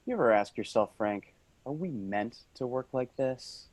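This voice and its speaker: A man's voice: medium pitch, expressive, normal speed, darkish tone, no echo, lightly acted. A man playing an earnest, rambling office worker, tipsy and brooding, in a staged play reading.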